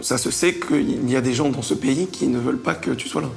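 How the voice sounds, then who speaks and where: A young man in his twenties speaks hesitantly in a documentary interview, repeating words as he looks for what to say. His voice has a gravelly fry, with faint music underneath. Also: medium pitch, brisk, a little mellow. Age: 20s.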